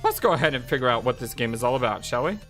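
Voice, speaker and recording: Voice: mid-range voice, very animated, rapid-fire, a bit bright, faint music behind. A gaming channel host giving casual, reactive let's play commentary in an exclamatory way. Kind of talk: blind let's play commentary, casual chat and reading dialogue aloud.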